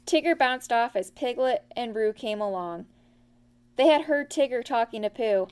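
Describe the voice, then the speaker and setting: A woman reading a children's story aloud as an expressive storyteller. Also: on the high side, moderate pace, clean tone.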